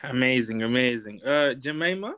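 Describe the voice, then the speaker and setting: A man's voice: medium pitch, lively intonation, moderate pace, a bit bright. A man moderating a panel discussion as host. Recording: slightly dull, lo-fi audio.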